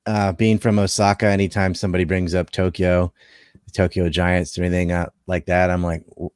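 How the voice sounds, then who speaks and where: A man with a low voice talks casually on a panel. His manner is offhand and wry, and the sound is dry, without room echo. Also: little pitch movement, a little fast, darkish tone, smooth. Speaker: podcast panelist. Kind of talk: casual chat.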